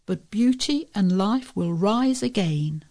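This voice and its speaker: Female volunteer newsreader. Mellow, creaky delivery of scripted local features. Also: mid-range voice, expressive, slow pace.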